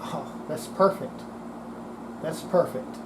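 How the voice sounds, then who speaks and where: A plainspoken repair demonstrator with a medium-pitched voice gives casual hands-on commentary. The speaker thinks aloud while working. A clearly audible steady hum of room noise runs behind the voice. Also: takes it slowly.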